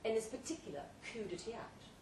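A woman giving a theatrical, deliberate live reading of a comic short story, with dramatic pauses. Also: a little high, expressive, darkish tone. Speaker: story reader at a live literary event. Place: pub event room, before an audience. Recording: roomy, distant live recording, faint noise.

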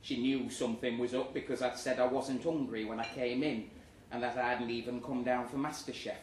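A man's voice: medium pitch, flat delivery, brisk. A man performs a comic short story live in a pub, narrating with sardonic, indignant theatricality. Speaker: story reader. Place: live reading event in a pub. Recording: echoey, noisy pub room, distant mic.

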